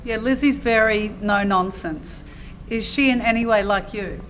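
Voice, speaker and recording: A woman's voice: mid-range voice, measured pace, a bit bright, a little hiss or hum, poor audio. A woman working as an interviewer, putting conversational questions to a guest.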